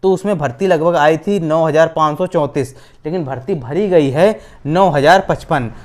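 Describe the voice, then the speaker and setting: A man's voice: on the high side, normal speed, a little mellow. A man hosts an informal explainer on recruitment updates, repeating phrases for emphasis and often checking in with his listeners. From India. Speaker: host of an exam-news channel.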